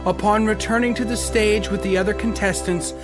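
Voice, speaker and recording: A man's voice: sits high, steady tone, fairly quick. A man reading scripted story narration in an audio drama. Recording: loud music under the voice. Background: music.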